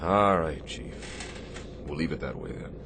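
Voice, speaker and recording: A stern Navy captain for radio theatre. The voice is low and commanding, with sardonic, deliberate phrasing that shows a demanding officer who still cares. Clear shipboard noise sits behind it. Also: male voice, a bit bright, full character voice. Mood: firm, concerned. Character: stern, demanding but caring male Navy destroyer captain.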